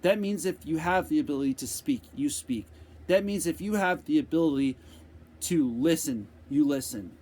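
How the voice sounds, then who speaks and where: A man giving an unscripted motivational talk, speaking slowly and deliberately with repeated phrases, answering a viewer's question. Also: fairly high pitch, darkish tone, slightly rough. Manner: slow, deliberate, repetitive phrasing.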